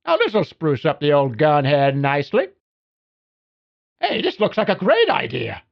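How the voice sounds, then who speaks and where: A man's voice: fairly high pitch, wildly swooping pitch, unhurried, creaky, lo-fi sound, full character voice. A man playing a cocky, folksy video game mechanic who briefs a pilot with slangy wisecracks. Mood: relieved, pleased. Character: cocky, folksy spaceship mechanic who babies his mech suit. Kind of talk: video game character voicelines.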